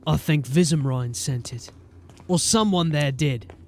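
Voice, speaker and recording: A man acting a gruff, authoritative sergeant of a city watch in a fantasy audio drama, with clipped speech. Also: fairly high pitch, unhurried, a little mellow. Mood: brooding.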